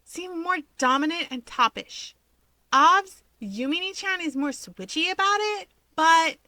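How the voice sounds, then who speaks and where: A woman voicing an excitable, gossipy teenage girl in a story reading, gushing and emphatic. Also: extremely high pitch, measured pace, no room sound, voice pushed up, heavily acted. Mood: teasing, animated. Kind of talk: acted dialogue in story reading.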